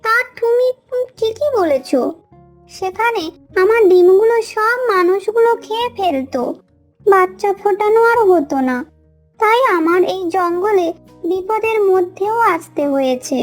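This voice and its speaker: Very high-pitched cartoon hen. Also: female voice, a little slow, soft background music. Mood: calmly firm. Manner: polite, calmly reasoning. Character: polite, steadfast, cheerful mother hen. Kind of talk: cartoon story dialogue.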